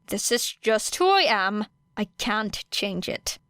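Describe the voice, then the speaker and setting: A woman voicing a guarded, sardonic teenage girl who speaks bluntly and flatly, in a storybook audio reading. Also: medium pitch, takes it slowly, smooth, no room sound, studio quality, full character voice. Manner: blunt, flat, through clenched teeth.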